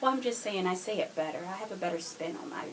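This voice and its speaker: A woman's voice: medium pitch, animated, fast talker. A woman giving her opinions casually as a podcast guest, on a hissy home recording.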